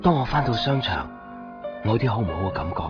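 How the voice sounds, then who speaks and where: A man's voice: fairly low pitch, big pitch swings, fast talker, over loud music. An actor playing a lovelorn, brooding and easily exasperated young man in a Cantonese radio drama. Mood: anxious, heartbroken. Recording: dull 1990s radio recording.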